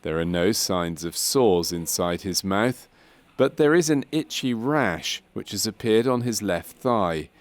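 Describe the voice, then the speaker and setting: Low-pitched male documentary narrator. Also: expressive, measured pace. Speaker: narrator of a medical training film.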